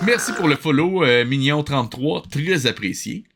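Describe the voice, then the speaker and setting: A man's voice: a little high, lively intonation, normal speed, music in the background. A man hosting a livestream, giving casual reaction commentary in a sarcastic, exasperated way with some swearing. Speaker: livestream host.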